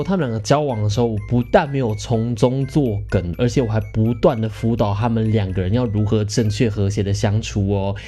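A man's voice: lowish voice, dark tone. A man in his thirties with a Taiwanese Mandarin accent, hosting a podcast and telling a personal story in a theatrical, playful way. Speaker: podcast host.

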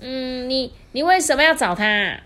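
High, stammering little fox spirit, curious and pleading, storybook voiced by a woman. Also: expressive, normal speed, fairly bright tone.

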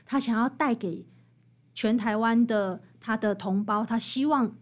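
A woman with a Taiwanese Mandarin accent, explaining things as an interviewed author in an animated, emphatic storytelling manner. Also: fairly high pitch, measured pace. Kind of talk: expressive explanatory interview talk.

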